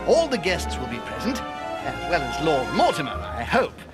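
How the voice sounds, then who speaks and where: An actor playing a courteous, theatrical gentleman host with a formal, ceremonious manner in a video game. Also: a little high, huge pitch swings, moderate pace. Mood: courteous, composed. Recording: loud music behind the voice.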